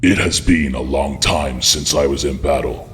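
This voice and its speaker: A man's voice: very deep voice, normal speed, a bit bright, slight background noise. A man playing a loyal, deferential villainous warrior henchman in an audio drama, sounding ominous. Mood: dutiful.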